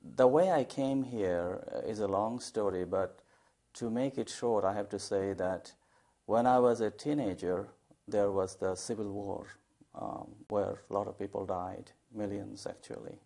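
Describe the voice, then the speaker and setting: Older guy from bangladesh, low voice, takes his time with lots of um and uh, lapel mic in a tv studio. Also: creaky, mellow. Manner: measured, thoughtful, with many fillers. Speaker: English professor, poet. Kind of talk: studio interview.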